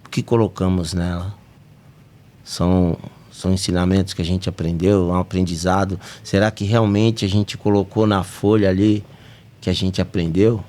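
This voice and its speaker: A man's voice: low-pitched, little pitch movement, normal speed, mellow. A Brazilian man with a Brazilian Portuguese accent hosting a spiritist study podcast, talking earnestly and rising to emphatic peaks. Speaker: podcast host.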